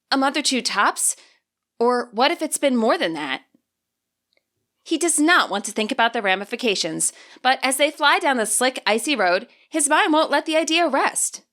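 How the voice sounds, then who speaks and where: Expressive woman narrating a story. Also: sits high. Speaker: fanfiction author reading her podfic. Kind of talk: audiobook narration.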